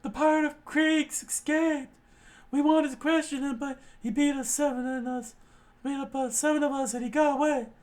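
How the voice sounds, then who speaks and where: A man voicing a panicked young male navy sailor, frantically reporting in a shrill, breathless way. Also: steady tone, normal speed. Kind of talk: fan reading of a comic, doing voices.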